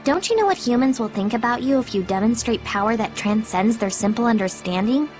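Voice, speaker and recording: A woman's voice: on the high side, lively intonation, brisk, darkish tone, faint music behind, lightly acted. An actress playing a blunt, cynical, teasing girl spirit in a video game, with a dry, sardonic manner. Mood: dry, detached. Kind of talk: English video game dub acting.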